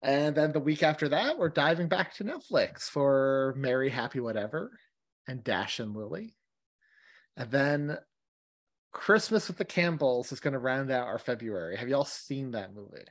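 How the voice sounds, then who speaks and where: A woman's voice: a bass voice, animated, normal speed. A woman co-hosting a podcast, talking in a bubbly, enthusiastic way. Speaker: podcast co-host. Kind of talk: casual podcast chat.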